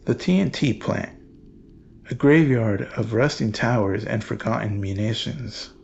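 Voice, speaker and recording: A man narrates a horror tale in a creaky, gravelly voice. His delivery is very slow, grave and ominous, and the recording sounds dull with a little noise behind it. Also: mid-range voice, darkish tone. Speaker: narrator and archivist host.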